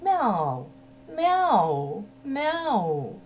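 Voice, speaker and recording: A woman's voice: fairly high pitch, wildly swooping pitch, drawn-out words, soft background music, exaggerated voice. A woman voicing a greedy, self-important old male cat in a children's folk tale, with terse delivery. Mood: greedy meowing. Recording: noticeable background hum.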